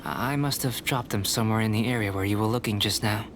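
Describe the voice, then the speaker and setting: A man voicing a polite, composed young male alchemist who speaks in a measured, gently teasing way, heard over game music. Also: fairly low pitch, noisy background, lightly acted. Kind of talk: game voice acting. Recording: studio game audio with music behind. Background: clear music.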